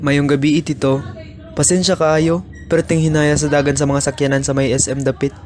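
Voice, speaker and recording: A man's voice: a little high, little pitch movement, a little fast, very noisy, natural voice. A man with a Cebuano accent playing a lovesick, broke young boyfriend in a student radio drama. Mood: earnest.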